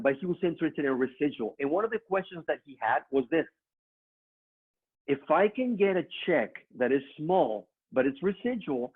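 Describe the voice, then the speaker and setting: A man's voice: a little high, husky. A middle-aged man with a Mexican accent giving an upbeat motivational business presentation to an online audience, addressing them directly. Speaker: online training speaker. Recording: narrow phone-like online call audio.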